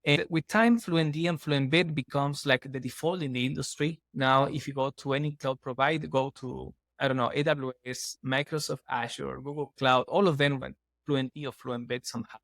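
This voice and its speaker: A man's voice: medium pitch, fairly even intonation, normal speed, gravelly, few pauses, a bit bright, no room sound, clean studio sound. A man from Chile, a guest on an interview podcast, explaining at length in an earnest, restarting way. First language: Spanish. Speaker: guest, startup CEO.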